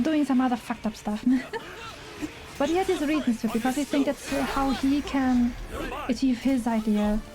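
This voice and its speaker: High-voiced German-accented woman streaming. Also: rough recording. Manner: giggly, enthusiastic, informal. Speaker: live game streamer. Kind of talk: casual chatty livestream commentary. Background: music.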